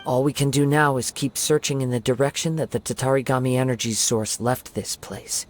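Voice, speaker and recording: A man voicing a calm young wandering samurai in a video game, soft-spoken, composed and reassuring. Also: medium pitch, a little fast, slight background noise, natural voice.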